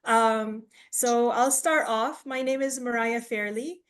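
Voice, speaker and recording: Woman, workshop facilitator, higher voice, friendly, explaining, fillers, video call. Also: fairly even intonation, slow pace. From United States, raised in Tanzania. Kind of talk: online workshop presentation.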